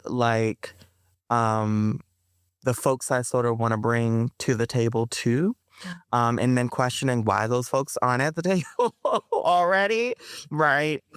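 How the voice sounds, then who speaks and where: A woman's voice: extremely low pitch, smooth, no room sound, studio quality. A woman guest on a podcast interview, speaking thoughtfully with frequent fillers and restarts, laughing now and then.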